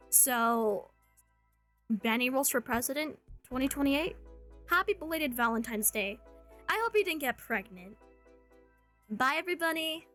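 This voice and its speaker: Woman, high voice, commentary host, emphatic, sardonic, music underneath. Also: a bit bright. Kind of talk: opinionated rant with joking sign-off.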